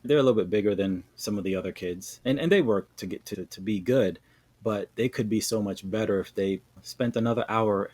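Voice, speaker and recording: A man from New York with a somewhat low voice talks easily as an interview guest. He is sincere and unhurried, stumbling over repeated words and tagging his points with quick check-ins. His delivery stays conversational rather than polished. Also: no room sound. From New York, USA. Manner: relaxed and earnest, with repeated words and frequent "right?" tags. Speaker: podcast guest.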